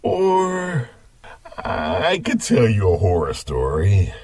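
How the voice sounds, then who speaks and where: Grandfather, played by a man, teasing, mischievous, husky dark voice, slow bedtime storytelling. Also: on the low side, huge pitch swings, full character voice.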